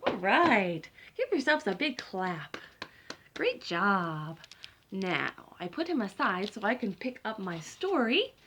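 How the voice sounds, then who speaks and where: A woman hosting a story time, reading aloud to young children and addressing them directly in an animated way. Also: mid-range voice, slow, slight background noise. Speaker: library story time host.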